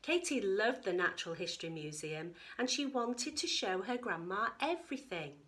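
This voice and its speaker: A woman narrates a children's picture book with a lively, swooping delivery. Her voice is bright, and she breaks off to chat with and question her young listeners in a teacherly way. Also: mid-range voice, moderate pace. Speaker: teacher reading story time.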